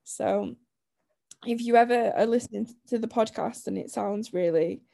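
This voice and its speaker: A woman co-hosting a podcast in casual chat, her voice croaky from a lingering chest illness, talking chattily with frequent laughs and restarts. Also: a little high, moderate pace, dark tone. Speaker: podcast co-host.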